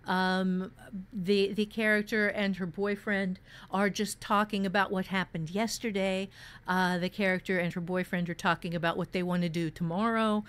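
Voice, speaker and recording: A woman co-hosting a podcast, giving casual writing advice in a halting way, repeating words for emphasis. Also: mid-range voice, little pitch movement, fairly bright tone, smooth. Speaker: podcast host, experienced fiction writer. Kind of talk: casual advice discussion about fiction writing.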